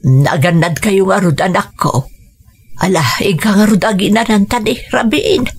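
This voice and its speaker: An actress as a husky, creaky elderly mother. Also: medium pitch, moderate pace. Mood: tender, concerned. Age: older. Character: elderly mother, anxious, gentle, fond of her daughter. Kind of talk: Ilocano radio drama acting.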